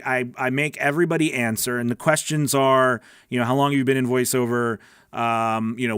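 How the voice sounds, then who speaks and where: A man's voice: medium pitch, fairly bright tone, smooth, no room sound. Dry-sounding man hosting a casual livestream. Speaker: host of a voice-over training livestream. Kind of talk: casual livestream chat, answering viewer comments.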